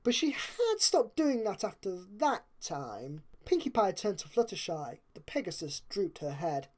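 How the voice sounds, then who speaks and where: A woman's voice: mid-range voice, sing-song, a little slow, darkish tone. A woman narrating a story expressively, as if reading a storybook aloud.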